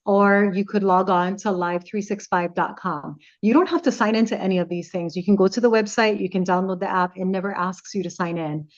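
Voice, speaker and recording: A woman radio host talks in a chatty, playful way, walking through each step like she is guiding a listener. Her voice sits in a middle pitch for a woman. The recording is a little dull and lo-fi. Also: a little fast, few pauses. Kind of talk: casual chat.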